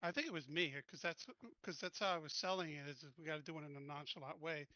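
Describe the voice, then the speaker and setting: A man playing in a tabletop roleplaying game, asking eager questions and thinking out loud. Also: fairly high pitch, steady tone, fast, no echo, highs cut off. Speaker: player. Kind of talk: casual chat in a tabletop game.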